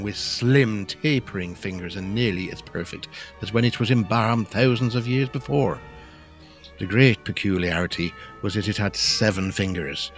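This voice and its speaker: A man narrating a gothic audio drama in a scripted storytelling style. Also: fairly low pitch.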